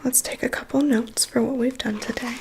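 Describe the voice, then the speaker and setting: A woman playing a chatty, weary vampire's assistant in an ASMR roleplay, in a soft breathy whisper with a confiding manner. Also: a little high, steady tone, noisy background, natural voice. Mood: gentle, attentive. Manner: confiding, gossipy, unhurried. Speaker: ASMR performer. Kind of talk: ASMR personal attention roleplay.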